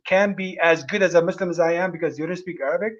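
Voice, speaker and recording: A man hosting a livestream talk, his voice sitting a little high for a man. He speaks in halting bursts, restarting phrases as he hunts for the right words. The stream audio is dull and lo-fi. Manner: halting, many restarts while searching for words. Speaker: host. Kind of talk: livestream conversation.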